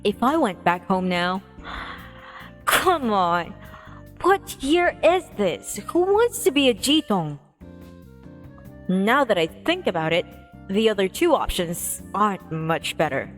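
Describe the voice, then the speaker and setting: A woman's voice: medium pitch, big pitch swings, slow, long pauses. A woman livestreamer reading a visual novel aloud expressively, with playful casual asides. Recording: music behind the voice. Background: music.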